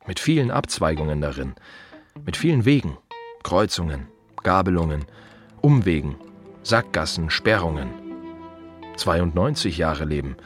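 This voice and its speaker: An actor playing an earnest, proud and reflective gravedigger in a radio play monologue, speaking in measured, short clipped phrases with pauses. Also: male voice, low-pitched.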